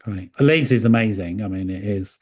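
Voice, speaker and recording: A man's voice: fairly low pitch, normal speed, darkish tone. A man from the hosting an interview, chatty and encouraging. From English Midlands. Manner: chatty and encouraging, many fillers. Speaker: podcast host.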